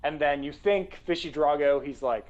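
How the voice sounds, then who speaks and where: Relaxed man from Canada pitching ideas. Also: moderate pace, highs cut off. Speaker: co-host of a writing session. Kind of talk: casual brainstorming chat.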